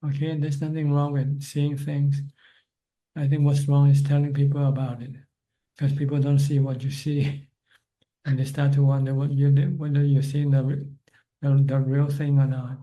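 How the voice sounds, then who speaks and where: Calm dark-voiced monk on a video call. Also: male voice, medium pitch, low-quality recording. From Thailand. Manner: calm, plain, unhurried advice. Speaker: Buddhist monk answering questions. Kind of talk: spiritual advice on a video call.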